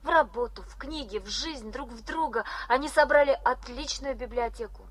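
An actress playing a frank, gentle young woman student from Yakutia who speaks softly and earnestly. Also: high-pitched, normal speed. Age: young adult. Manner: soft, earnest, often hushed. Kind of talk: 1980s radio drama acting.

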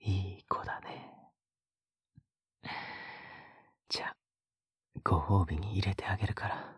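A man playing an obsessive young captor in an intimate audio drama, coaxing in a husky whisper with sweetly condescending menace. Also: deep voice, fairly even intonation, no echo, clean studio sound. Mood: sweetly controlling. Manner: slow, coaxing, condescendingly gentle.

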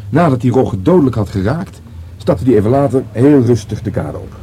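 A man's voice: medium pitch, lively intonation, brisk, warm tone, a little hiss or hum, close to an everyday voice. An actor playing a deferential younger police detective in a radio drama, tentative and earnest, repeating words as he reasons. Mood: speculating. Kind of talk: Dutch radio drama dialogue.

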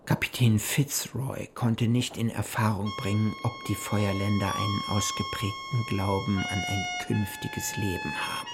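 A man giving measured, formal narration of a journal in a literary radio play. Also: on the low side, flat delivery, gravelly, over loud music. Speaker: narrator reading an explorer's journal.

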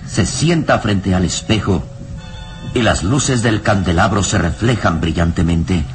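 Deep-voiced, solemn male radio serial narrator. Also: a little slow, over music. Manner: solemn, literary storytelling. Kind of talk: scripted dramatic narration, old radio serial.

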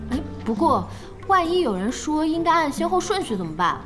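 A woman playing a feisty, excitable young guild boss in an audio drama, blunt and expressive. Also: high voice, fairly quick, over music. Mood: relieved teasing.

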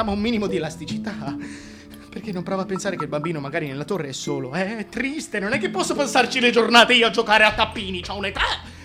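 An actor playing a scheming, self-pitying, paranoid king in a comic stage monologue, complaining and justifying himself in an agitated way. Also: extremely high pitch.

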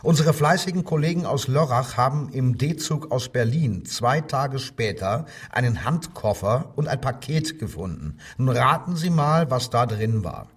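Husky, needling police detective, slightly distant. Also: male voice, medium pitch, lively intonation, a little slow, hardly any pauses. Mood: mocking, needling. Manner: insistent, sardonic, interrogating. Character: male wartime German police detective, needling, coldly insistent. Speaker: actor. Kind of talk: radio crime drama acting.

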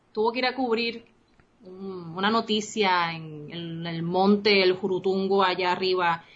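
Bright-voiced Costa Rican woman in Puerto Rico. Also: mid-range voice, muffled recording. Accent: Puerto Rican Spanish with some Costa Rican traces. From Costa Rica. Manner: rambling, many restarts and fillers, mixes in English. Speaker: interview guest, game designer. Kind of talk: casual interview chat.

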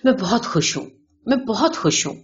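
A woman's voice: a little high, sing-song. Boastful middle-aged businessman, read by a woman, muffled sound. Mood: smug, boastful. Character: boastful, self-satisfied middle-aged businessman with a callous streak. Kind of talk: character dialogue in audiobook reading. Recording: dull, lo-fi sound.

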